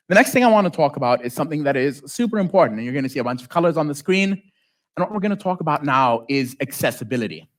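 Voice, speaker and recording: A man who is a native Arabic speaker giving an informal conference talk as a software developer, with joking asides and check-ins to the audience. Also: mid-range voice. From lives in South Africa.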